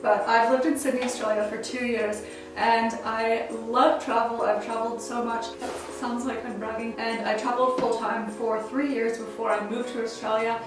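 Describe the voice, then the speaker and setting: A woman's voice: a little high, little pitch movement, normal speed, heavy reverb, distant mic, music in the background, low-quality recording. A young American woman in her twenties, chatting upbeat to camera as a travel vlogger, with plenty of casual fillers. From United States. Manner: chatty and upbeat, frequent "like" fillers, laughs easily. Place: small indoor room at home.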